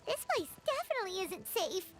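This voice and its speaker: A woman voicing a tiny, excitable, childlike fairy companion with a squeaky voice in an anime game. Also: a little fast. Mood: surprised. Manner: exclamatory, refers to self by name.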